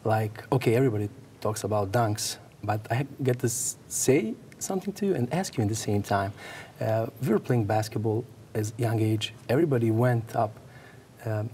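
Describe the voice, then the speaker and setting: A native Serbian man with a Serbian accent asking sports interview questions in halting, non-native phrasing. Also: lowish voice, dark tone. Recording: some background noise.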